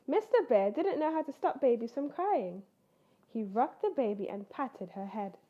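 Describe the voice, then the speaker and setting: A woman reading a children's bedtime story aloud in an expressive, animated way, acting out the characters. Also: on the high side, moderate pace, highs cut off. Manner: animated, acts out character voices.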